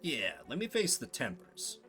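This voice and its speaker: A man's voice: mid-range voice, huge pitch swings, measured pace, over music. A man giving casual game commentary, thinking aloud and reading out story narration as he plays. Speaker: streamer playing and solo-voicing a story game.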